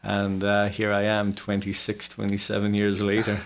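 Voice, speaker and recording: A man in his forties with a Dublin Irish accent, recounting his own story casually as an interview guest, with hesitant pauses. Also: on the low side, monotone, slight background noise. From Dublin, Ireland. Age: 40s. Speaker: interview guest, business owner.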